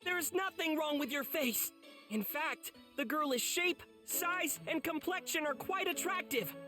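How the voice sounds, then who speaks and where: A man's voice: extremely high pitch, unhurried, sharp tone, soft background music, exaggerated voice. An actor playing an earnest, kind-hearted teenage boy demon slayer in an anime game, sincere and polite. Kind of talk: dramatic anime game voice acting.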